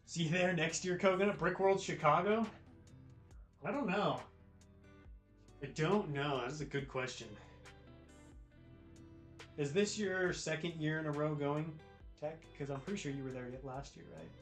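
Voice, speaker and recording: A man's voice: a little high, moderate pace, pauses often, fairly bright tone. An American man hosting a livestream, chatting casually with viewers in a laid-back, slangy way. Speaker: livestream host. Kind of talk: casual chat with live viewers. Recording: roomy echo with music behind. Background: music, guitar.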